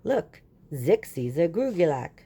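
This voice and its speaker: Lady doing a friendly green alien from a picture book, low mellow voice, formal stilted talk. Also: sing-song, slow pace, breathy edge, full character voice. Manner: stilted speech naming himself in third person.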